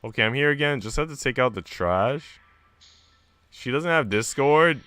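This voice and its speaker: A man's voice: mid-range voice, expressive, normal speed, a bit bright, smooth, no room sound. A man live streaming in an informal, slangy way, moving between casual chat and reading aloud.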